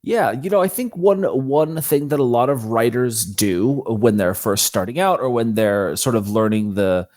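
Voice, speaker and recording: A man's voice: medium pitch, normal speed, hardly any pauses, darkish tone. A man speaking as an author guest in an interview, explaining his method in a casual, matter-of-fact way. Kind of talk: conversational explanation of own method.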